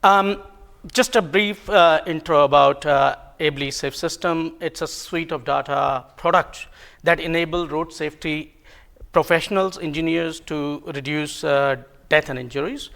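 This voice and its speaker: A man whose first language is Hindi, giving a technical talk at a conference, halting with many ums and self-corrections and a bit of self-deprecating humor. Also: fairly high pitch, vocal fry, fairly bright tone. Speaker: conference presenter. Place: conference room.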